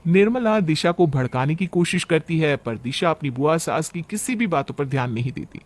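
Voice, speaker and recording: High-pitched male story narrator. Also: lively intonation, normal speed. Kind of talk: scripted story narration for cartoon.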